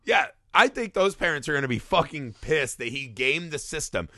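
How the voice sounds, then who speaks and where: A man with a bright, forceful and slightly high voice in a dry, close recording. He co-hosts a casual comedy podcast, swearing freely and ranting, often tossing in mock quotes. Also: very animated, normal speed, no room sound. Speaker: podcast co-host. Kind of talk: casual comedic movie discussion.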